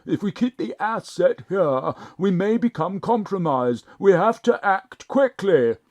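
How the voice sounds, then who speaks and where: An actor playing a fussy, placating cat whisperer and schemer in an audio drama, hesitant and well-spoken. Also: male voice, high-pitched, animated, unhurried, darkish tone. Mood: placating, urgent.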